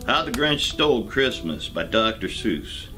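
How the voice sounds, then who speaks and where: A man narrating a rhyming children's storybook as a storyteller, in a deliberate, measured way. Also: medium pitch, expressive, pushed and bright, faint music behind.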